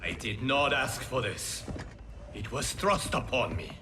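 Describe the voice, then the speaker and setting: Man playing noble warrior hero, deliberate pace, earnest, game cutscene, cavernous reverb. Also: medium pitch, lively intonation, background noise, lightly acted. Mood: solemn. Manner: solemn, earnest, measured. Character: noble, resolute male warrior hero with divine power. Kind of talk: dramatic video game hero acting. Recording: long hall reverb, music behind.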